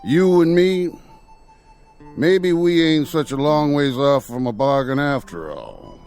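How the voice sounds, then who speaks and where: A gruff, gravelly old-west outlaw's ghost, drawling slowly with a lively, swinging pitch. He talks in frontier slang, and music plays behind him in this radio drama. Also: exaggerated voice. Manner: slow drawling frontier speech.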